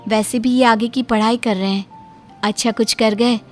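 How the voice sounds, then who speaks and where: A woman's voice: fairly high pitch, fairly even intonation, measured pace, faint music behind, close to an everyday voice. A woman voicing a sweet, polite young bride in a cartoon, eager to please. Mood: reassuring. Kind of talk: cartoon voice acting.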